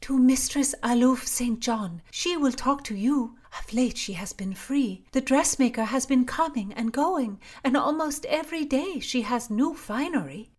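A woman's voice: high-pitched, unhurried, hardly any pauses, darkish tone, voice pushed up. Woman voicing a scornful gossiping lady-in-waiting. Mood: gossipy. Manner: breathless, scornful.

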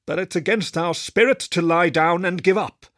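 A man's voice: high voice, moderate pace, fairly bright tone, no echo, clean studio sound, pitched above the performer's own voice. A man voicing an ailing old male wolf teacher who murmurs wearily and then growls stern commands. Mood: defiant.

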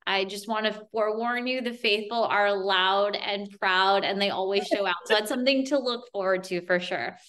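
A woman's voice: mid-range voice, normal speed, hardly any pauses, fairly bright tone. A woman hosting a podcast, putting prepared interview questions in a conversational way.

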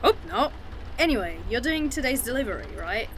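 An actress playing a blunt, outspoken, easily exasperated woman in an audio drama, with a grumbling, expressive delivery. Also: fairly high pitch, fairly quick, slight background noise. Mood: miffed, casual. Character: blunt, exasperated, outspoken woman aboard time-travelling train.

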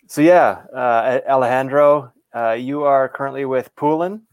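Halting male podcast host. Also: mid-range voice, smooth. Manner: halting, hesitant. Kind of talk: casual podcast hosting.

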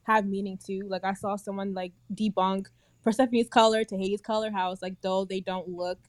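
A woman's voice: medium pitch, level tone, moderate pace, no echo. A young woman in a casual group podcast, chiming in eagerly.

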